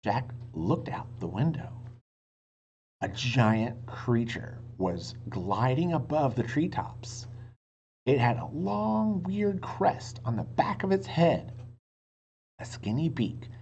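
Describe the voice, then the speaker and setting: Guy reading a kids book out loud, slow and super swoopy, drops to whispers, kinda muffled noisy recording. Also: mid-range voice, very animated. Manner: dramatic, stretches words, drops to hushed whispers. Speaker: read-aloud storyteller and teacher. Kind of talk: expressive children's book read-aloud with asides to listeners.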